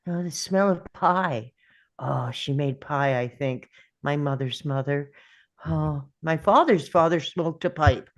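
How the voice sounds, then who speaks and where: An actor at a script table read playing an elderly grandparent, reflective and tender, musing in halting phrases. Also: female voice, low voice. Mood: wistful, remembering.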